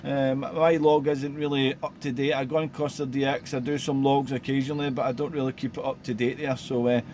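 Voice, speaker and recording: A man's voice: medium pitch, flat delivery, brisk, a little mellow, slight background noise. A man from Glasgow chatting casually on a home radio station by the sea, friendly, with many filler sounds. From Scotland. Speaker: radio amateur.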